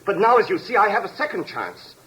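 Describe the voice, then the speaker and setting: An actor playing a deranged escaped convict, calm, polite and coldly menacing, in the style of a 1950s radio drama. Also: male voice, high-pitched, fairly quick, breathy edge, muffled recording, heavily acted. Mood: smug, threatening. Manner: polite, composed, coldly precise. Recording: noisy vintage radio recording.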